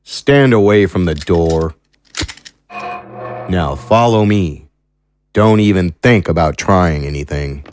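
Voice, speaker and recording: Man playing a slow, deep, menacing prison guard. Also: animated, darkish tone, soft background music. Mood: threatening. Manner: curt, threatening, colloquial. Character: gruff, menacing male prison guard, curt and mocking. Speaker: actor in an audio drama. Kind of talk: audio drama acting.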